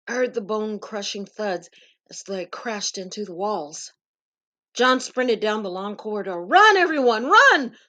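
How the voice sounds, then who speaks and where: A woman's voice: fairly high pitch, fairly bright tone. A woman giving an earnest, suspenseful first-person narration of a dramatic story.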